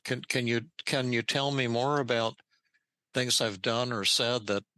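An older man hosting a therapy podcast, talking in halting, repeated starts while demonstrating a role-play. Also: medium pitch, steady tone, pushed and bright, no room sound. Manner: halting, many repeated words.

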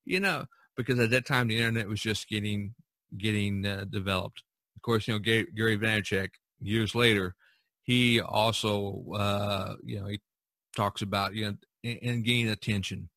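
Male podcast host, middle-aged, bright forceful tone, close dry sound, emphatic conversational delivery. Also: fairly low pitch, fairly even intonation, normal speed, dry recording.

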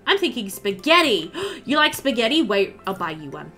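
A woman in her thirties giving casual, chatty let's-play commentary, animated and thinking aloud. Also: fairly high pitch, normal speed, faint music behind. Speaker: gaming video host. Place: indoor room.

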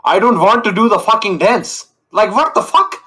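A young man on a video call through a gaming headset, joking and boasting in a loud, cocky way. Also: high-pitched, moderate pace. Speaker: online date contestant. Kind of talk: casual video call banter.

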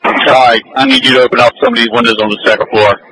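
A man commands a fire scene over two-way radio in a very bright, forceful voice. He talks in short, halting phrases, with light noise on the transmission. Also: mid-range voice, steady tone. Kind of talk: emergency radio traffic. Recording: noisy fireground radio transmission.

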